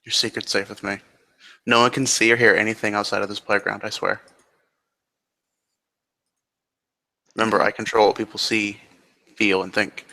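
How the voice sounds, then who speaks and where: A man as a gentle, bright-voiced changeling creature. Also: fairly low pitch, level tone, fairly quick, creaky. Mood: reassuring. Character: gentle, reassuring changeling, a scary-looking creature, playful at heart.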